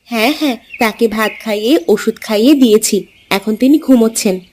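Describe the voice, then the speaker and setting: A woman's voice: fairly high pitch, brisk, darkish tone, a little hiss or hum, close to an everyday voice. A woman voicing a devoted, cheerful village wife in a cartoon, speaking attentively and with care. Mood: caring. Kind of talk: cartoon dialogue.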